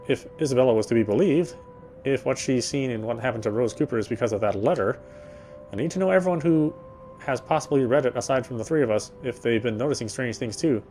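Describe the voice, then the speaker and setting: A man's voice: medium pitch, fast talker, a little mellow, soft background music, lightly acted. An actor playing a weary male city detective, narrating in the first person with a wry inner voice.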